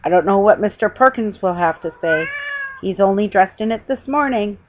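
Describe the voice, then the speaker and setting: A woman's voice: medium pitch, animated, moderate pace, lo-fi sound, background noise, close to an everyday voice. A woman voicing a stern male store detective from a storybook, blunt and accusing. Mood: indignant.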